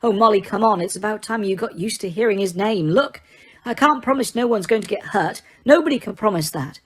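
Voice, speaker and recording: A calm grown man, voiced by a woman reading aloud, steady and firm. He speaks soothingly, a touch higher than her narration. It's a storybook reading with a plain, gentle reassurance. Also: brisk, breathy edge, lightly acted.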